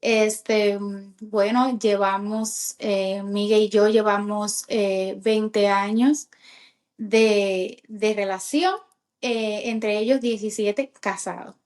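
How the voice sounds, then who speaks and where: A Cuban woman in her thirties with a Cuban accent, a podcast guest telling her life story in a halting way, with many fillers. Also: mid-range voice, steady tone, darkish tone. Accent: Cuban Spanish. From Cuba. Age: 30s. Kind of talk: personal testimony in casual chat.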